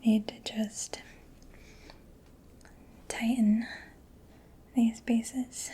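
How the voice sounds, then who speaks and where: Soft whispering woman, very even pitch. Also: drawn-out words. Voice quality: soft, breathy, mostly whispered. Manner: gentle, personal-attention murmuring. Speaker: ASMR performer. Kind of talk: soft-spoken ASMR mechanic roleplay. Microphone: close-up ASMR microphone. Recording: close-miked with clear background noise.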